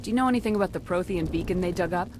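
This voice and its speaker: An actress playing a firm space soldier in a video game, speaking directly and with authority. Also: female voice, lowish voice, racing, noisy background, lightly acted. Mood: firm, questioning. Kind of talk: dramatic video game acting.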